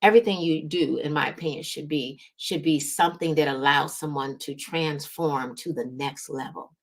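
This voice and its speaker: Low-voiced woman coaching with emphasis. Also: moderate pace. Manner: emphatic, repeats words, often checks in with "right?". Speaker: business coach and host. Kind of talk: motivational business advice talk.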